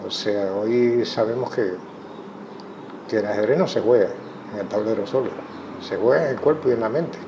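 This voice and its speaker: A Cuban man, a chess coach, giving thoughtful interview answers in a measured way with frequent pauses. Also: fairly low pitch. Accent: Cuban Spanish. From Cuba. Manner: measured, thoughtful, many hesitations. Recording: muffled audio with clear background noise.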